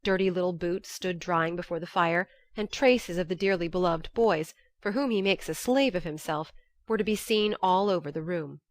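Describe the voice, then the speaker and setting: A woman reading scripted first-person literary narration for an audiobook, telling it in a measured, expressive way. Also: fairly low pitch, dry recording, clean studio sound.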